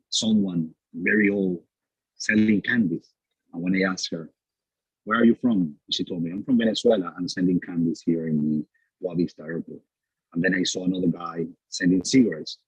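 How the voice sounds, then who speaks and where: Deep husky man, halting earnest account. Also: no room sound, highs cut off. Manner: earnest, halting, many fillers and restarts. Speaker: panel guest, exiled former mayor. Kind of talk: personal account at a panel talk.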